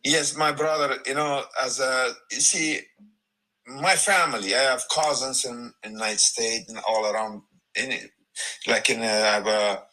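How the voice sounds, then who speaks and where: A middle-aged man from Afghanistan telling his story in a halting, emphatic, animated way with many restarts. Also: medium pitch, rough recording. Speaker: guest giving a testimony. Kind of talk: conversational personal testimony.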